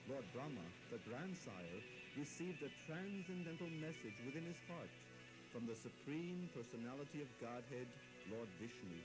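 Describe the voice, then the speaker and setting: Male storyteller, medium pitch, solemn formal scripted narration, devotional audio drama, very prominent music behind. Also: animated, moderate pace, low-quality recording. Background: loud music.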